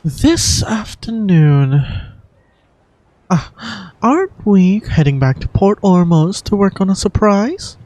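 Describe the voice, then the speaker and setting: A woman voicing a calm, protective guardian for a video game in a measured, articulate, reassuring way. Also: medium pitch, pitched above the performer's own voice. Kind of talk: amateur video game dubbing.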